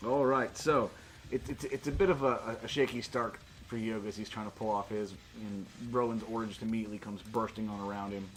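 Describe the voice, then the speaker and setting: A man's voice: mid-range voice, slight background noise. A man acting as game master, narrating and refereeing a tabletop role-playing game. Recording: music playing behind the voice. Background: music.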